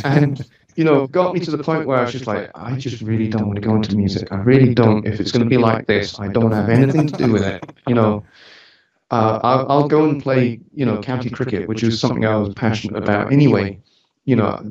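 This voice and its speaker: A man's voice: medium pitch, fairly quick, a little mellow. A man in his forties with a mixed Scottish British accent, telling stories casually in a chatty, informal, lightly joking way. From Scotland, grew up on the road around the UK. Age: 40s. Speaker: interview guest, musician and studio owner. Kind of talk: casual conversational storytelling. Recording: echoey room, distant mic, dull sound.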